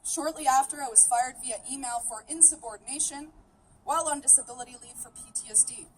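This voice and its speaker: A high-pitched woman in her thirties, whose was Greek, delivers a steady, determined speech outdoors. The mic sits well away from her, and a faint hiss runs underneath. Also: quick pace. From Canada. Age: 30s. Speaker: rally speaker. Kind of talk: prepared public speech. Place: outdoor rally. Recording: voice picked up from a distance.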